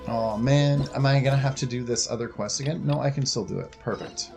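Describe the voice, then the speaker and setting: A man's voice: mid-range voice, fairly quick, gravelly. A man giving casual, colloquial gameplay commentary, reacting and muttering to himself as he plays. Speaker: game streamer. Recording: faint game music behind the voice.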